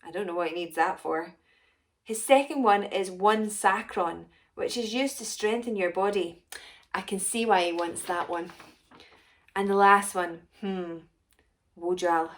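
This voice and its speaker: A woman voicing a short, rich, excitable female alien potion maker in a children's story, self-important and exclamatory. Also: mid-range voice, measured pace, lightly acted. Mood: puzzled. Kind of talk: first-person children's story narration.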